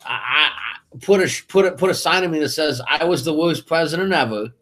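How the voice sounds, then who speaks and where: Co-host, male, high-pitched and insistent, keeps restarting his sentences. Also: a little fast, bright, forceful tone. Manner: argumentative, emphatic, many restarts. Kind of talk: casual political talk show chat.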